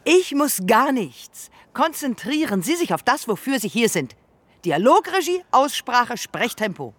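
A woman's voice: a little high, very animated, a little slow, no echo. An actress playing a haughty young female film director who is cold, clipped and cutting on set. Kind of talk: radio drama acting.

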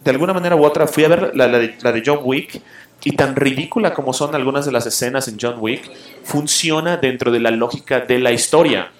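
A man's voice: mid-range voice, fairly quick, reverberant. A man hosting a show, giving a blunt, opinionated film review full of fillers and restarts. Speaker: show host reviewing films and comics. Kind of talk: casual opinionated radio-style review.

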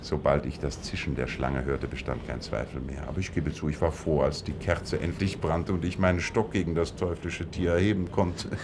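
A man acting in a radio play as a shrewd, composed detective, laying out deductions in a measured, self-assured way. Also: extremely low pitch, steady tone, noisy background. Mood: dryly reflective.

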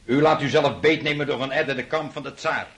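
A man playing a displeased, imperious emperor in a radio play. He speaks in a mid-pitched voice, curt and commanding, as if dictating orders. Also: fairly even intonation, fairly quick, fairly bright tone, close to an everyday voice. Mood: stern displeasure. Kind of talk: radio drama acting.

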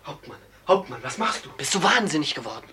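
An actor playing an agitated, coarse, rebellious robber in a classical radio play. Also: male voice, fairly high pitch, big pitch swings, fairly quick, a crowd in the background. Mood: alarmed.

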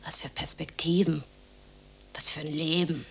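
A woman's voice: low voice, level tone, normal speed, creaky, slight background noise. An actress in a German radio drama playing a weary, scornful woman with a blunt, cutting way of talking. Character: weary, scornful woman rejecting her suitor.

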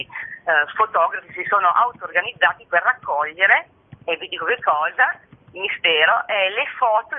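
A woman speaking as an artist presenting her work in an explanatory interview style, often checking in with short tag questions. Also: mid-range voice. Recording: narrow tinny telephone-like line.

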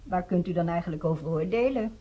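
A woman's voice: lowish voice, quick pace, lightly acted. A woman playing a blunt questioner in a radio drama, speaking in a pointed, challenging manner on a muffled recording.

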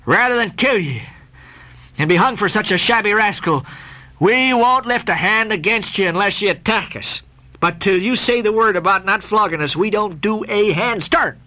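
A man voicing a fierce sailor ringleader who speaks out forcefully and defiantly. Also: high-pitched, moderate pace, pitched above the performer's own voice. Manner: forceful, raised defiant speech.

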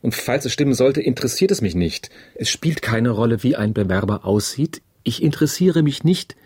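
An actor in a radio drama playing an officious, probing human resources manager with formal, insistent, measured diction. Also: male voice, mid-range voice, expressive, darkish tone, slightly rough. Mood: defensive, insistent.

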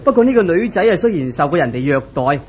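An actor playing a careful, courteous police officer with a Hong Kong Cantonese accent, measured and official, in a 1970s radio drama. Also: male voice, on the high side, sing-song, darkish tone.

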